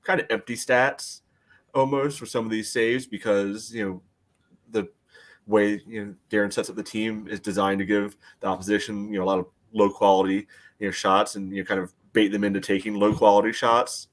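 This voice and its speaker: Low-voiced man chatting about sports. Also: little pitch movement, brisk. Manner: hesitant, filler-heavy phrasing with frequent "you know". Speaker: podcast panelist. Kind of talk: casual sports podcast discussion.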